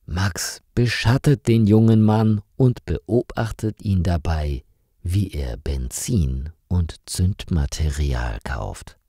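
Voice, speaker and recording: A man reading a scripted story for language learners in a measured, carefully articulated storytelling manner. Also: low-pitched, lively intonation, dark tone, no echo, studio quality. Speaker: narrator of stories for language learners.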